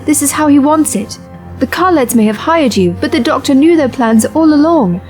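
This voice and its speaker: An earnest rebel acted by a woman in a sci-fi audio drama. She has a high voice, intense and pleading, with faint music underneath. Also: animated, normal speed, a little mellow. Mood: urgent, pleading.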